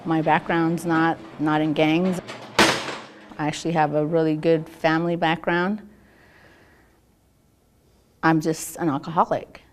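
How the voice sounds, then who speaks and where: American woman being interviewed, kinda low voice, candid, halting casual talk. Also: little pitch movement, a little hiss or hum. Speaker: news interviewee.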